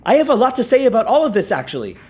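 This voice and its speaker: A man's voice: very high-pitched, big pitch swings, very fast, a little mellow, husky, exaggerated voice. An actor playing an indignant, rattled young male grad student who protests with exasperation in a naturalistic audio drama. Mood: indignant, upset.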